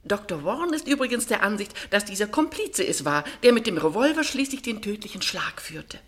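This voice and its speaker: A genteel older mother from a radio play, acted by a woman. Her voice swings animatedly in pitch, with a dry, arch wit and a talkative, well-bred manner. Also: big pitch swings, fairly quick, close to an everyday voice. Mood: gossipy, explanatory. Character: older upper-class mother, dryly witty, talkative, genteel. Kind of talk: radio drama acting.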